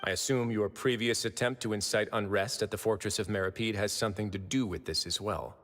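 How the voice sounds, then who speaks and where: A low-voiced gentleman official in a fantasy game, acted by a man, courteous yet quietly menacing. He is composed and deliberate, his words carried on long reverb. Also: steady tone, close to an everyday voice. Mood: politely menacing.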